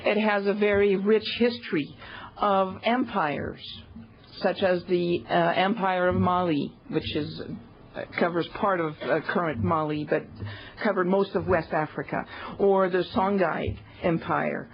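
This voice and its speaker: A woman giving a university lecture, speaking slowly and pausing often with fillers. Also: on the low side, fairly even intonation. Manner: slow, hesitant, many pauses and fillers. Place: lecture room. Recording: dull, lo-fi lecture recording.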